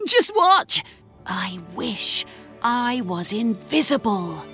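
A woman's voice: a little high, very animated, takes it slowly, over music. A woman voicing a cheerful, earnest young boy tank engine in a children's cartoon. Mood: confident. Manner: bright-eyed, earnest, exclamatory.

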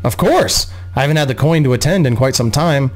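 A man's voice: mid-range voice, lively intonation, brisk, natural voice. A man voicing a polite, charming male bard suitor, courteous and admiring, in a fantasy audiobook.

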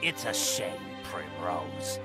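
An actor playing a menacing, possessive slave-master in a video game, with a sinister drawl. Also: male voice, low voice, big pitch swings, slow pace, loud music behind the voice, full character voice. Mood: menacing, gloating.

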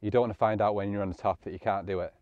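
A man's voice: lowish voice, fairly even intonation, rapid-fire, dry recording, phone-quality sound. A man, giving casual, matter-of-fact tips to camera outdoors. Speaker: landscape photographer presenting hiking tips. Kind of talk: casual instructional vlog talk to camera. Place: open grassy hillside outdoors.

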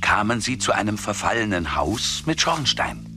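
A man's voice: on the low side, big pitch swings, normal speed, over music. A man narrating a scripted children's story as the storyteller.

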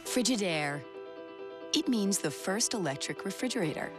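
A woman reading a scripted appliance commercial voice-over in a smooth, polished delivery. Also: mid-range voice, expressive, normal speed, over music. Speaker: ad announcer.

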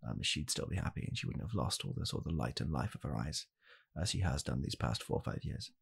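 A young man playing a blunt, callous father in an ASMR comedy roleplay, speaking softly and haltingly in a deadpan way. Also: a bass voice, gravelly, husky, full character voice. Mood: deadpan blaming. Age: young adult. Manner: soft-spoken, halting, deadpan. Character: blunt, callous father calmly blaming his child. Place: small home room, indoors.

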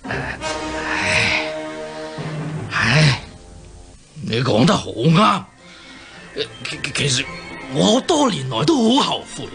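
An actor with a Hong Kong Cantonese accent playing an old usurper king in a radio drama, sighing and halting as he feigns weary remorse. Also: on the high side, very animated, gravelly, over music, exaggerated voice. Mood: feigned remorse.

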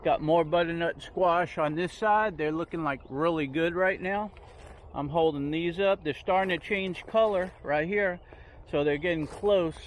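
A man's voice: on the high side, a little hiss or hum. A man giving a casual, rambling tour of his backyard garden, recorded outdoors on a handheld phone. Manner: rambling, unhurried, points things out as he walks.

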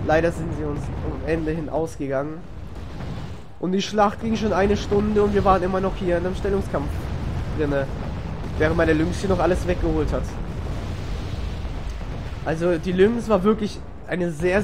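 A man's voice: high voice, moderate pace. A man with a cold, casually narrating a replay of his strategy game match, rambling with many fillers and self-corrections. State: has a cold, coughs. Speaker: gamer commenting on a replay. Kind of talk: casual gameplay voice-over commentary. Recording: noisy home recording.

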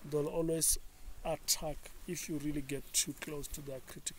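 A man guiding tourists on an open motor boat, explaining things calmly. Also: a little high, normal speed. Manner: calm, explanatory. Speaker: safari boat guide. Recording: loud wind and boat noise, distant mic.

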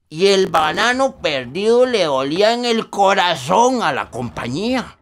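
Slow, animated Costa Rican storyteller. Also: male voice, sits high, full character voice. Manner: colloquial, teasing, expressive. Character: folksy male rural storyteller, wry banana-country old hand. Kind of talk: radio drama narration.

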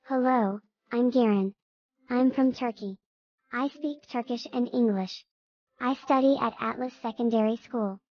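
A woman voicing a friendly schoolgirl who introduces herself, in slow, clear textbook reading. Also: fairly high pitch. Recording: dry, slightly dull lo-fi.

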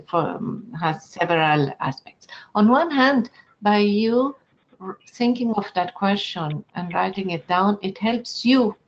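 Woman, German accent, from Argentina, earnest measured lecturer, fillers, slightly dull computer mic. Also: medium pitch, a little hiss or hum. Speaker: webinar presenter. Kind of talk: online lecture.

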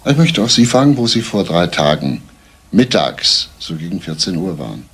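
An actor playing a persistent, composed homicide detective in a German crime radio play, matter-of-fact and clipped. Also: male voice, low voice, big pitch swings, normal speed, husky, heavily acted. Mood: probing, composed.

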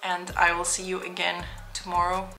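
A woman's voice: lowish voice, monotone, moderate pace, sharp tone, faint music behind, low-quality recording. A young woman vlogging casually to camera at home, talking through her goals in a chatty, earnest way. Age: young adult. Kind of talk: casual vlog talk to camera. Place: home room, indoors.

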